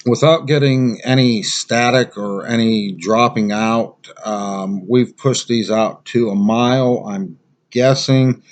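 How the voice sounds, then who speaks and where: A man's voice: mid-range voice, takes it slowly. An American man giving a casual, unscripted gear review as a survival instructor, plain-spoken and rambling.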